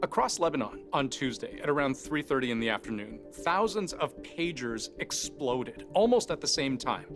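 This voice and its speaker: Man, news explainer host, mid-range voice, scripted narration, deliberate delivery, question-and-answer framing, prominent music underneath. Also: medium pitch, lively intonation. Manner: deliberate, poses questions then answers them.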